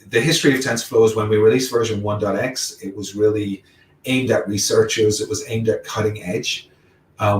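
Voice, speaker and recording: Low-voiced man with a mixed accent, roomy. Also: recorded from a distance, slight background noise. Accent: mixed Irish, Welsh, English and American. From Ireland, Wales, England and the United States. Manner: explanatory, walking through examples step by step. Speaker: guest speaker. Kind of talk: technical talk.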